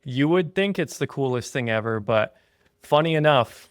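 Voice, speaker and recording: Thirties man, laid-back podcast host, middle pitch, dry close sound. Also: lively intonation, moderate pace, clear, resonant tone, no room sound. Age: 30s. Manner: easygoing, earnest, with frequent "um" and "yeah". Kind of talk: casual podcast chat. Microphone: remote call.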